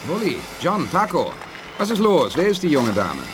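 An actor playing a self-assured, probing leader of a space-age base in a 1980s German radio play, authoritative and deliberate. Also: male voice, on the high side, big pitch swings, background noise.

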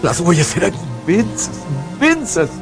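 A man's voice: high voice, big pitch swings, moderate pace, creaky, heavily acted. An actor playing an anguished, haunted man who recounts his ordeal in a theatrical, fearful radio drama narration. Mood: grave, dread. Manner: theatrical, fearful, repeats words for emphasis. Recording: studio radio drama with music bed.

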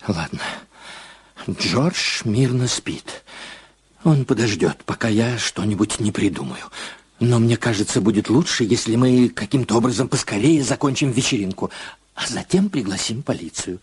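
An actor playing a controlling Hollywood manager and lover who urgently gives instructions in an audio drama. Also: male voice, fairly low pitch, normal speed, breathy edge. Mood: tense, calculating. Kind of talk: dramatic audio play acting.

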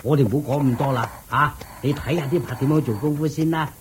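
A man's voice: medium pitch, fast talker, a little hiss or hum, natural voice. A man with a Hong Kong Cantonese accent playing a thrifty, sly royal chancellor in a radio drama, coaxing and explaining in a placating way. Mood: placating, dismissive. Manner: chuckling, coaxing, explaining patiently.

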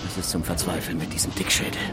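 A man's voice: low-pitched, little pitch movement, fast talker, over loud music, close to an everyday voice. An actor playing an impatient, visionary male engine engineer in an audio drama, insistent and impassioned. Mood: exasperated, fervent.

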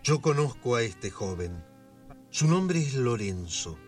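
Neighborhood storyteller for radio drama, Porteño accent, mid-range voice, leisurely and expressive. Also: male voice, medium pitch, slow pace, faint music behind. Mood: storytelling. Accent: Argentine, Buenos Aires. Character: storyteller narrator who knows the neighborhood intimately. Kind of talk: scripted radio drama narration.

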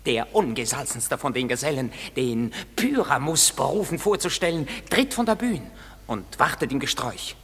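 A man playing a mischievous, excitable woodland sprite in a radio drama, declaiming rhymed verse theatrically with a husky edge. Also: medium pitch, moderate pace, big-room sound, full character voice. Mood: gleeful boasting. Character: mischievous gleeful male woodland sprite, servant to fairy king. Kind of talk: classic stage-verse radio drama acting.

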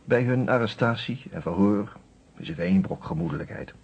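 A male storyteller narrating a detective radio play. His voice is very raspy, with lively rises and falls and an expressive, reflective delivery. The recording is dull and aged. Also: on the low side, fairly quick. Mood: admiring, reflective. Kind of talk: dramatic scripted narration.

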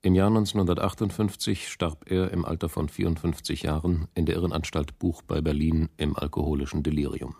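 A man narrating a radio drama in a sober, matter-of-fact, measured documentary style. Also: a bass voice, steady tone, vocal fry, mellow, slightly rough, no echo, clean studio sound.

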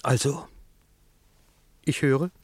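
A man's voice: mid-range voice, steady tone, very slow, husky, no echo. An actor playing a proud, guarded, wealthy middle-aged father in a German radio drama, speaking formally and curtly. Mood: cold, guarded. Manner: formal, curt, defensive.

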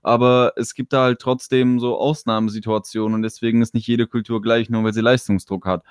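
A man's voice: medium pitch, little pitch movement, brisk, smooth, dry recording. A man co-hosting a podcast speaks in a loose, colloquial way, full of fillers. The sound is dry and close. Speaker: podcast co-host. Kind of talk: casual chat.

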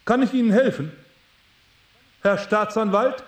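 An actor playing a suave, sinister stage hypnotist in a radio drama, over-courteous, commanding and theatrical. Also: male voice, sits high, a little slow, echoey room. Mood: mocking. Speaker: stage showman.

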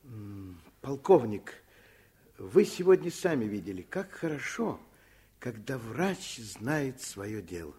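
A man's voice: mid-range voice, very animated, takes it slowly, dark tone, breathy edge, full character voice. A man playing an earnest, stubborn, caring middle-aged doctor in a Soviet radio play, speaking with emphasis. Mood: persuasive.

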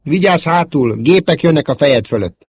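A man voicing an excitable young male fighter pilot, calling out urgently in a space audiobook. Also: husky, no room sound, studio quality. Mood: alarmed warning. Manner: high-flung, urgent shouts.

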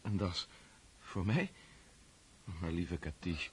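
An actor playing a kind-hearted, awkward young Russian count in a radio play, halting and self-correcting. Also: male voice, sits low, expressive. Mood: awkward, generous. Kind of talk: radio drama acting. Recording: noisy old radio play recording.

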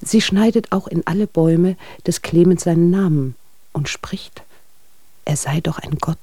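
An actress playing a wry, gossipy friend who reports on a couple in letters, dry and observant, in a radio drama. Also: female voice, on the low side, a little slow, mellow, no echo. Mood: wryly amused.

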